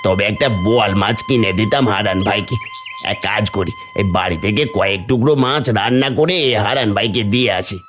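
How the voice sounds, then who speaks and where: A man's voice: on the low side, brisk, a bit bright, soft background music, close to an everyday voice. A man voicing a plain-spoken village uncle in a cartoon folk tale, familiar in manner. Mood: kindly. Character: village uncle, blunt but kind-hearted.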